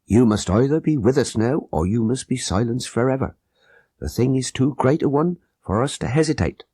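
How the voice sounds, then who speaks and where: A man's voice: medium pitch, lively intonation, normal speed, warm tone, breathy edge, dry recording, clean studio sound, pitched above the performer's own voice. A man voicing a grave, persuasive Sikh guard who conspires in solemn, formal speech for an audiobook. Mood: menacing urgency.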